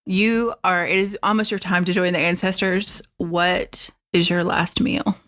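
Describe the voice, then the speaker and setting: A woman's voice: lowish voice, level tone, a little slow, a bit bright. A woman hosting a podcast interview, friendly and encouraging, with lots of short affirmations. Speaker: podcast host. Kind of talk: casual interview chat.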